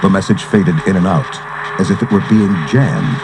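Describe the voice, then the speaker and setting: A man's voice: deep voice, sing-song, moderate pace, gravelly, noisy background. A man giving dramatic scripted narration for a read-along adventure story. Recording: cassette transfer with music underneath.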